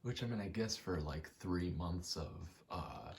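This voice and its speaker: Very low-voiced man rambling softly in ASMR. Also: lively intonation. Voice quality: soft, low, often slipping into whisper. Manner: halting, self-deprecating, casually sweary. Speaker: ASMR creator. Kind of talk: rambling ASMR, casual personal chat while gaming.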